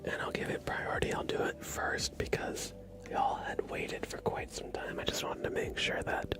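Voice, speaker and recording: A man hosting an ASMR livestream, chatting casually and softly close to a sensitive microphone. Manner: whispered, soft-spoken, unhurried. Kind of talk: ASMR, casual stream chat.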